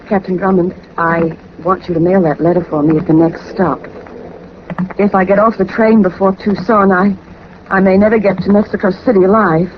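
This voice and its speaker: A woman's voice: medium pitch, fairly even intonation, normal speed, close to an everyday voice. An actress playing a frightened young woman in danger, speaking politely and urgently in 1940s radio drama style. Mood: anxious, earnest. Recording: dull, noisy old 1940s radio recording.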